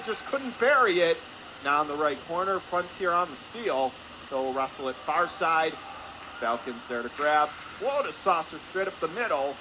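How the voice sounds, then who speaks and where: A man calling live hockey play-by-play for radio in clipped, urgent phrases, following the action as it moves. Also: fairly high pitch. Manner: urgent, clipped running description, voice rising with the action. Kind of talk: live radio sports commentary. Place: hockey arena. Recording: clear steady background noise.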